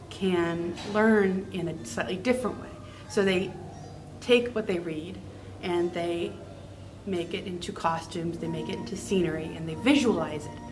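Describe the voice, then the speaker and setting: A woman teacher explaining her work informally in an interview. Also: lowish voice. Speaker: art and theater teacher.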